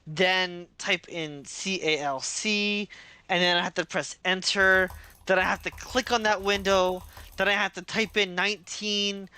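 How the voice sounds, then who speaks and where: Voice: medium pitch, pushed and bright, clear, resonant tone, dry recording. A game streamer chatting casually and rambling through long explanations, swearing now and then. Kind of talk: casual gaming stream chat.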